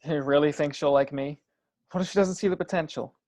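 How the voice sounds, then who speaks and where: A man playing a shy, self-doubting man with a halting, earnest way of talking in a play table read. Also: fairly high pitch, a little mellow, lightly acted. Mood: unsure, anxious.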